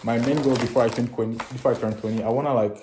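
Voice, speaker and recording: A man's voice: medium pitch, fairly even intonation, racing, background noise. Rambling teen guy vlogging in an echoey room. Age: late teens. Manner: rambling, many fillers and restarts. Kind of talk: casual daily vlog.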